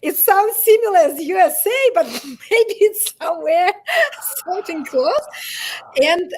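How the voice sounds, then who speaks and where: Russian-accented woman, high and halting. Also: animated, slight background noise. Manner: halting, many restarts, laughs between phrases. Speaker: guest, bodysuit brand founder. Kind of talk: candid interview conversation.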